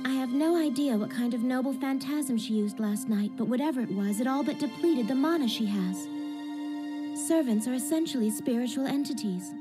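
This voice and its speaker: High-voiced, blunt anime teenage girl, acted by a woman. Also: natural voice. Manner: crisp, matter-of-fact explaining, slightly superior. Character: sharp, pragmatic teenage girl, blunt and self-assured. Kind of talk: English anime dub acting. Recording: loud music bed under dialogue.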